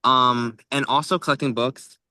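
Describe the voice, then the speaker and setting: A man's voice: mid-range voice, steady tone, moderate pace, pushed and bright, no room sound. A young man co-hosting a podcast in casual, joking chat, rambling along with lots of "like". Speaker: podcast co-host.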